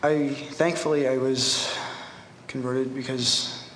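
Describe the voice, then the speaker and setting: An earnest young man from Ohio shares a personal testimony in a church hall, pausing often and slowing down when he reads his own verse. His voice sits at an ordinary pitch and carries a roomy echo with a long reverb tail. A faint hum of ambience sits underneath. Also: flat delivery, fairly bright tone. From Ohio, USA. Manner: earnest, halting, reads his poem slowly. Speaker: Bible school attendee giving testimony. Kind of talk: spoken testimony and recited poem.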